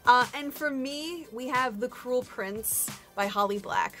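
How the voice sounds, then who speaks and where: A woman's voice: on the high side, takes it slowly, fairly bright tone, faint music behind. A woman hosting a book review in a casual, chatty way, with informal, self-deprecating, slangy asides.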